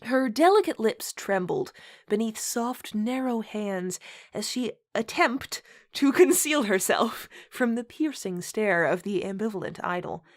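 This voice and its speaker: A woman hosting a comedic read-aloud with casual chat, often breaking into laughter as she talks. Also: medium pitch. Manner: giggly, breaks into laughter while talking. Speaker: host and reader.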